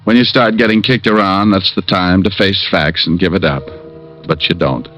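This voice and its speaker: An actor playing a wisecracking private detective who narrates, in a 1950s radio drama. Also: male voice, deep voice, normal speed, a bit bright. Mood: weary. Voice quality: wry, dry wisecracking. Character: wisecracking battered private eye. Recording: dull old radio transcription.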